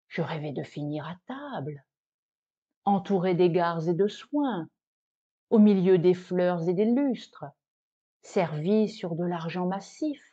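A woman voicing a vain, naive cheese in a recited poem, lamenting its fate in a plaintive, theatrical way with dramatic pauses. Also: fairly low pitch, mellow, muffled recording, voice pushed down. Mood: mournful, resigned.

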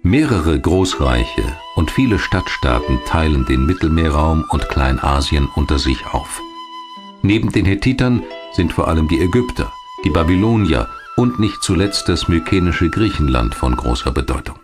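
A man reading scripted documentary narration in a measured, clearly articulated storytelling manner. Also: a bass voice, huge pitch swings. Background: music behind the voice.

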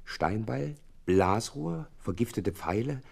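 An actor playing a detective's earnest, easily exasperated doctor companion in a German audio drama, with a husky voice. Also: male voice, on the low side, lively intonation, slow, heavily acted. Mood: intrigued. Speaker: narrator and character.

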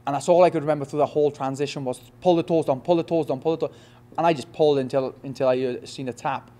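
A young man with a Welsh accent in a post-fight interview, talking quickly with many restarts and "you know what I mean". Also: fairly high pitch, steady tone, warm tone. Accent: Welsh, Swansea. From Wales. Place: press backdrop indoors. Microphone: reporters' handheld mics in front.

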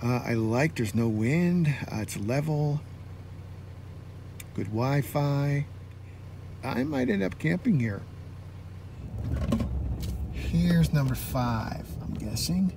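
A man's voice: mid-range voice, lively intonation, takes it slowly, gravelly, pauses often, darkish tone. A man giving casual running commentary as a camping vlogger, thinking aloud in short phrases. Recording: noisy, car and vehicle sounds.

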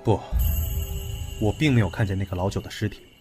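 Lowish, guarded man played by an actor. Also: a little fast, warm tone, soft background music, lightly acted. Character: measured, guarded male witness. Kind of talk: audio drama acting.

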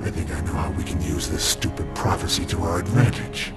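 An actor playing a hero's smug, cruel evil twin in a video game, sneering and dismissive. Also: male voice, extremely low pitch, little pitch movement, a little fast, over loud music. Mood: scornful. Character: smug, cruel evil double of a male hero. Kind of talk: video game villain acting.

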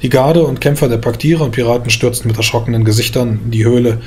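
A man's voice: mid-range voice, steady tone, fast, a little mellow. A man reading a scripted, dramatic fantasy story as its narrator.

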